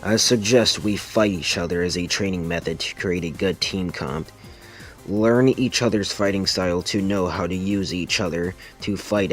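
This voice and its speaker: A man voicing a stern, irritable, vengeful hedgehog leader in an amateur comic dub, curt and scolding. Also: low voice.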